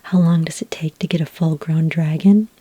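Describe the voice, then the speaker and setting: A patient, helpful female dragonmaster, played by a woman, speaks in a low, husky whisper for an ASMR fantasy roleplay. She explains things gently and without hurry, pausing with small hesitations. The sound is close and dry. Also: fairly even intonation, dry recording, muffled recording. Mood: patient, informative. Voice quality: breathy, whispery. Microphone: close microphone in a dry space.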